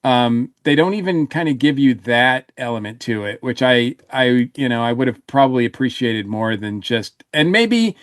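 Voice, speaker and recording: A man's voice: mid-range voice, expressive, normal speed, few pauses, no echo. Man chatting casually, very dry close sound. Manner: rambling, often trailing off and rephrasing. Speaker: podcast panelist reviewing audio dramas. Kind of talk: casual panel discussion.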